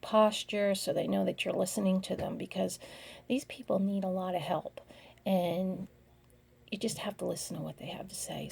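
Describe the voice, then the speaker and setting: A middle-aged woman giving a slide presentation in an earnest, halting way, speaking in short phrases. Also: medium pitch, vocal fry, darkish tone, slightly rough.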